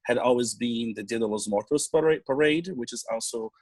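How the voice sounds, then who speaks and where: Halting Brazilian man telling his story. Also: mid-range voice, dry recording. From Salvador, Bahia, Brazil. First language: Portuguese. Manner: halting, with pauses and repeated words. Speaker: panel guest, drummer in community bands. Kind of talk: unscripted personal storytelling on a panel.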